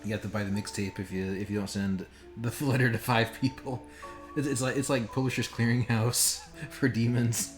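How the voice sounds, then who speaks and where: A man's voice: mid-range voice, fairly even intonation, normal speed, faint music behind. A man giving casual, joking game commentary as a streamer, crude, profane and sarcastic in how he talks. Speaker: streamer playing a horror visual novel.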